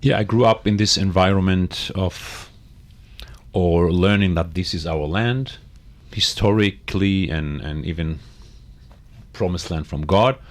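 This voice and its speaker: A middle-aged man from Israel, a panel guest giving halting, earnest personal testimony. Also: sits low. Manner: earnest, halting, searching for words.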